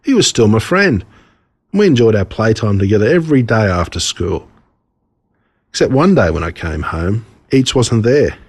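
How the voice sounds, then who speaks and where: Husky Australian man, animated storyteller. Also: lowish voice, moderate pace. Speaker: author reading his own stories. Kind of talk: scripted storytelling narration.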